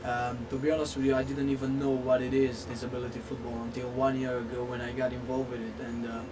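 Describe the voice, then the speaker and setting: A man in his twenties with a Romanian accent answering interview questions in a halting way, often repeating words. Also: monotone. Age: 20s. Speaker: assistant football coach being interviewed. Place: outdoors in front of bushes. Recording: noisy outdoor recording with vehicle rumble.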